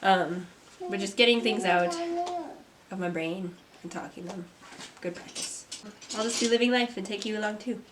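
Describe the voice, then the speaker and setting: A young woman, a mother and artist, talking to camera from a script in a soft, reflective vlog; she sounds stuffy and congested. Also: medium pitch, normal speed, crowd noise. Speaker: vlogger, artist. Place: home. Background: kids playing.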